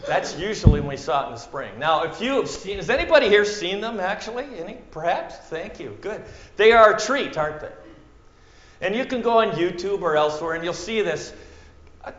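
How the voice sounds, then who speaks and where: Minnesota guy preaching, goes loud then whispery, roomy dull sound. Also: high voice, lively intonation, normal speed. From central Minnesota. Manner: dramatic, swings from loud to hushed, acts out quotes. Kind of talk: sermon. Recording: echoey room, muffled sound.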